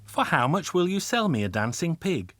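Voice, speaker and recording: Man putting on a high-voiced eager young princess. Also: very animated, moderate pace, fairly bright tone, no room sound, clean studio sound.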